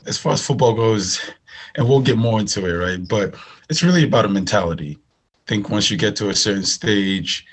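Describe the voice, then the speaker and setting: A man's voice: deep voice, husky. A man with a Nigerian accent answering interview questions conversationally, making points with emphasis and repetition. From northern Nigeria. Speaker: podcast guest, agribusiness managing director.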